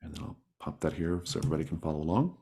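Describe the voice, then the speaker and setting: A man with a very low-pitched voice runs a tabletop roleplaying game. He explains things to players in an encouraging, accommodating way over an online call. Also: animated, racing, dark tone, slight background noise. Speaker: game master, host. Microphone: online group call.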